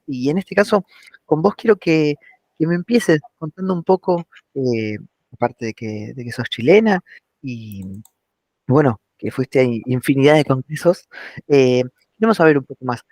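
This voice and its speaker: A man's voice: mid-range voice, moderate pace, darkish tone, dry recording. Informal man interviewer with Rioplatense accent, dry sound. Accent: Rioplatense Spanish. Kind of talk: casual conversational interview.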